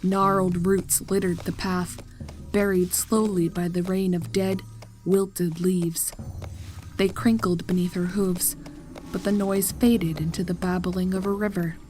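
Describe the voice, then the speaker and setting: Female narrator for horror audio fiction, lowish voice, light music bed. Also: little pitch movement, a little slow, darkish tone, low-quality recording.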